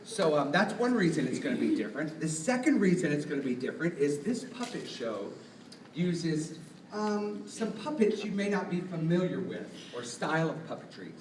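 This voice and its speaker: High-pitched, animated male puppeteer. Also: moderate pace, slight background noise. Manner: playful, asks the audience questions. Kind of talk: introducing a live puppet show to children. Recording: echoey room, distant mic, music behind.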